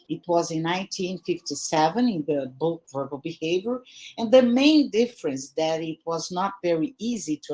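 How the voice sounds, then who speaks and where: A woman with a Brazilian accent, a native Portuguese speaker, giving an academic lecture over a video call with frequent fillers and restarts. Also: mid-range voice. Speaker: guest lecturer.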